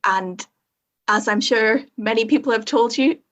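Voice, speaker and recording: A woman's voice: on the high side, a little slow, a bit bright, highs cut off. A young woman with a Northern Irish accent, a podcast guest frankly telling her own story with self-mocking humor. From Northern Ireland. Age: young adult. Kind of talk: casual conversation.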